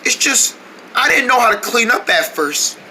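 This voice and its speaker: A performer voicing an eager young male parrot, speaking slowly and earnestly in a homemade pretend-play story. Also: medium pitch, sing-song, bright, forceful tone, slight background noise, pitched above the performer's own voice, rough recording.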